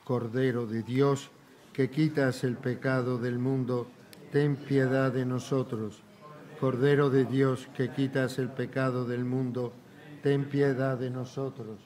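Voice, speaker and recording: An older man leading Mass as a priest, reciting liturgical prayers in a measured, solemn way in a large church. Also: medium pitch, level tone, darkish tone. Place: large church sanctuary, indoors.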